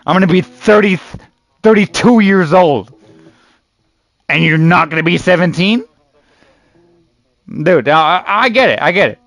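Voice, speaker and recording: Thirty-ish guy, kinda high voice, ribbing his buddies over voice chat while gaming, says dude a lot. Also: animated, a little slow, pauses often, fairly bright tone, no echo. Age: 30s. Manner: loose and teasing, repeats "dude" and "bro", swears. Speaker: gaming streamer. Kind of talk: casual gaming chat with online friends.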